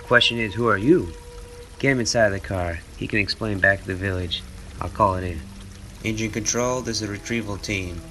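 A man acting a decisive, gruff leader of a retrieval team in an audio drama. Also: lowish voice, brisk. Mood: firm, terse. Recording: loud music under the dialogue.

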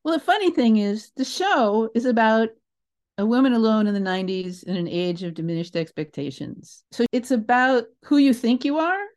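An older woman telling stories as an interview guest in a casual, animated, emphatic way, often interrupting herself and digressing. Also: on the high side, normal speed. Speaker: interview guest, theatre creator.

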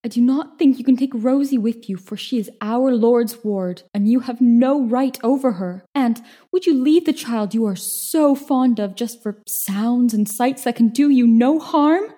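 A woman voicing a kindly older servant who speaks soothingly and reasons calmly. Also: fairly high pitch, measured pace, few pauses.

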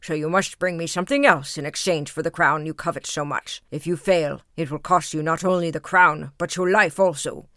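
A woman voicing a huge, greedy male giant, gruff and demanding, in a storybook fairy tale. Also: low voice, fairly even intonation, moderate pace, few pauses, a bit bright, no echo, studio quality. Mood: threatening.

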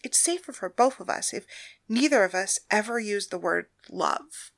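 Woman doing a commanding brothel woman, brusque, forceful, mid-pitched. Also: animated, moderate pace, vocal fry. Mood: guarded. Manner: brusque and forceful, raising her voice in anger.

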